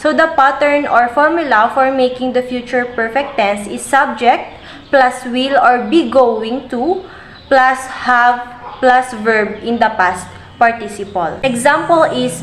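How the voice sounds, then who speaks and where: A young woman with a fairly high voice explains verb tenses steadily, working through example sentences. She is recorded on a webcam in a room that echoes. Faint noise sits behind her. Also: measured pace. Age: young adult. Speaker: student presenter. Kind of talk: reading a grammar lesson. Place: indoors, virtual slide background.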